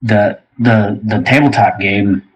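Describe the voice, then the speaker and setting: Husky low-voiced man, casual talk. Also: steady tone, slow pace, lo-fi sound. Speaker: game creator and show host. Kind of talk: casual discussion, explaining plans.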